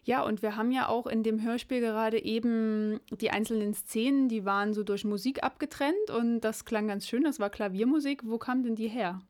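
A woman's voice: a little high, normal speed, hardly any pauses, a little mellow. A woman presenting a radio show and leading an interview in a studio. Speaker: radio host.

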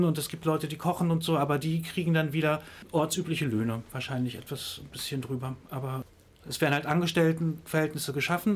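A man with a somewhat high voice gives careful interview answers. He talks in a measured way, often stopping to search for the right word. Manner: thoughtful, hesitant with many fillers. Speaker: interviewed expert on tourism.

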